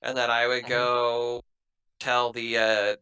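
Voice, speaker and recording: A man playing a tabletop role-playing game, talking through plans and in-character lines in a measured, articulate way. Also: mid-range voice, monotone, bright, forceful tone, clear, resonant tone, rough recording. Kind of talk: improvised in-character talk and planning.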